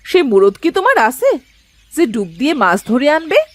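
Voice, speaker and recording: Brief: cartoon wife, scornful nag, bright lively voice, female actor. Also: sits high, normal speed, dry recording. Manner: scornful, nagging. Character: sharp-tongued scolding village wife. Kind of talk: Bengali cartoon voice acting.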